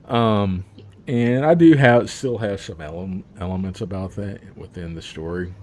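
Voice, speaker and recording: Deep-voiced man chatting loosely. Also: unhurried, a little mellow. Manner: rambling, with frequent fillers and laughs. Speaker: author. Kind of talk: casual interview chat.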